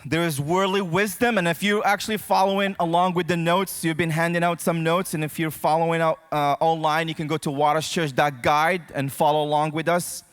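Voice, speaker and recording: Male preacher from Morocco, slightly high voice, conversational sermon delivery, big reverberant hall. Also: fairly even intonation, a little fast, hardly any pauses, clean tone. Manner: conversational, addresses listeners directly with check-in questions. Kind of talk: sermon to a congregation. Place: church auditorium, speaking to a congregation.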